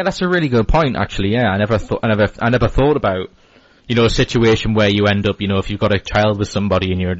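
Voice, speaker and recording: A man's voice: on the low side, no echo, lo-fi sound. An English man hosting a podcast interview, casual, rambling and agreeable. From England. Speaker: podcast host.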